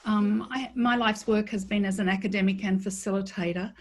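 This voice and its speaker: A woman's voice: mid-range voice, fairly even intonation, fairly quick. A woman academic and facilitator introducing herself fluently on a video call.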